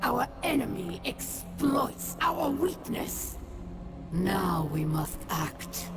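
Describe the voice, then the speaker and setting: A woman's voice: medium pitch, very animated, drawn-out words, exaggerated voice. An actress playing a proud, vengeful female alien warlord in a video game, speaking with menacing, dramatic delivery. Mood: defiant, vengeful. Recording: loud cinematic music behind. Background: loud music.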